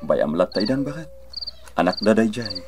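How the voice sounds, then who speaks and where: A man's voice: on the low side, very animated, normal speed, noisy background. An actor playing an easygoing, genial family man from the province in an Ilocano radio drama. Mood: calm.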